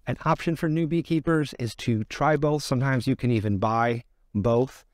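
Middle aged guy explaining gear, plain spoken n measured, mid pitch voice, really dry close sound. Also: no echo. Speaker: presenter of a beekeeping product guide. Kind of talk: explanatory product demonstration. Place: outdoors in an open gravel yard by fields.

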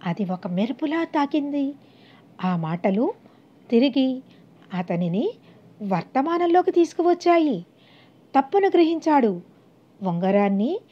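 High-pitched, animated woman storyteller. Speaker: audiobook narrator. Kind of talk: scripted moral story narration. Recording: dull, lo-fi audio.

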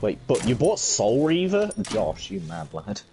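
A man's voice: mid-range voice, huge pitch swings, a little slow, creaky. A man talking casually with friends during a video game. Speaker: gamer playing with friends. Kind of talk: casual gaming chat. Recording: loud game music over voice. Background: loud video game music and effects.

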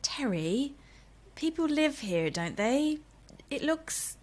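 An actress playing a shy, nervous, chatty romance novelist in a radio drama, hesitant, polite and self-deprecating. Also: female voice, high voice, very animated, takes it slowly, darkish tone, heavily acted. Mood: uneasy, polite. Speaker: actor in an audio drama. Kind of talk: naturalistic radio drama acting.

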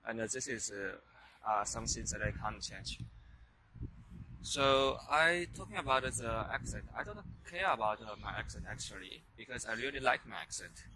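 A man's voice: mid-range voice, creaky, pushed and bright, low-quality recording. A young man with a Chinese accent talking in a casual vlog, hesitant and full of fillers and restarts, recorded on a handheld phone while walking outdoors on a campus. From China. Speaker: vlogger. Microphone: handheld phone camera while walking. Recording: outdoor noise behind the voice.

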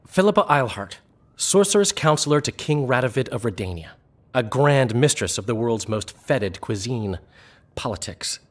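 An actor playing a flamboyant bard in a fantasy video game, wry and theatrical, with a husky voice. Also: male voice, mid-range voice, animated, moderate pace, lightly acted. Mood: wry, gossipy. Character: witty, flamboyant male bard and gossip.

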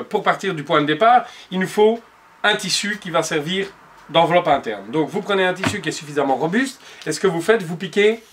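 A man's voice: on the high side, a bit bright. Patient man explaining a craft. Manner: patient, explanatory, addresses viewer directly. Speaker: upholsterer demonstrating cushion making. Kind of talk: step-by-step how-to instructions. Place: upholstery workshop showroom, indoors.

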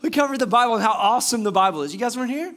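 Excited pastor guy in his 30s preaching on a church stage, fairly high voice, keeps asking the crowd stuff. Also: rapid-fire. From Georgia, US. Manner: enthusiastic, gestures widely, asks the congregation questions. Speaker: assistant pastor. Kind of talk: sermon. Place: church stage before a screen.

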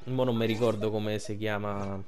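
A man's voice: lowish voice, level tone, normal speed, a little mellow, soft background music. A young adult man giving casual, colloquial, emotionally invested game commentary, with some swearing. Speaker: game streamer.

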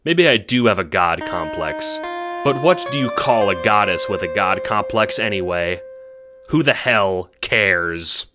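A man's voice: low-pitched, expressive, unhurried, music in the background. A man playing a cocky, bitter young alien troll girl in a dramatic, boastful first-person monologue. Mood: defiant, gloating. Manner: boastful, theatrical, scornful.

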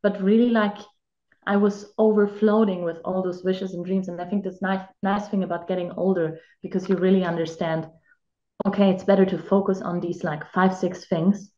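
A woman's voice: medium pitch, level tone, normal speed, dark tone. A woman in her thirties, a native German speaker, chatting reflectively as an actress and life coach over a video call. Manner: reflective, chatty, many filler "like"s. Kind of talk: casual video-call interview. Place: home room with bare wall. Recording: roomy lo-fi video-call audio.

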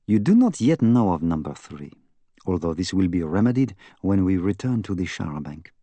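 A man's voice: low-pitched, sing-song, normal speed, warm tone, dry recording. A man voicing a fussy, earnest middle-aged Belgian detective in an audiobook, with a French-speaking Belgian accent and precise, emphatic, formal phrasing. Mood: grave, emphatic. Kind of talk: audiobook character acting.

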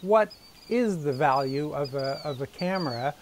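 A middle-aged host, a Dutch native speaking English, with a fairly high voice. He talks earnestly and stumbles into restarts. Faint birds and crickets can be heard behind his lapel mic. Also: lively intonation, unhurried, slight background noise. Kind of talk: casual chat.

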